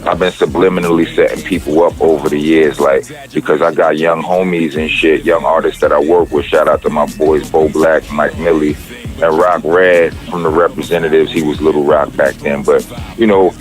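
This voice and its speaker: A middle-aged man with a deep voice, a rapper talking casually in an interview, rambling and profane, with many restarts. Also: fairly even intonation, normal speed, faint music behind.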